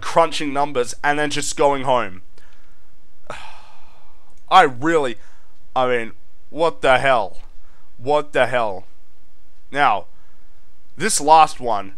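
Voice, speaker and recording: A man's voice: on the high side, sharp tone, dry recording. A seventeen-year-old young man reading comments aloud and ranting back at them in a sarcastic, exasperated way, swearing often. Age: teens.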